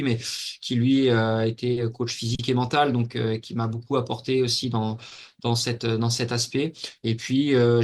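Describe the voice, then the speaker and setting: Interview guest, man in his forties from Normandy, even flat delivery, steady and detailed. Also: mid-range voice. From Normandy, France. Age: 40s. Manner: steady, detailed account with frequent fillers. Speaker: guest, athlete and mental coach. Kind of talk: casual interview talk.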